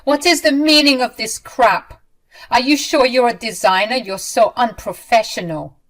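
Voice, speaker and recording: A woman voicing a cruel, scornful middle-aged mother-in-law who scolds in a shrill, loud voice. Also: steady tone, unhurried. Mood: furious, scornful.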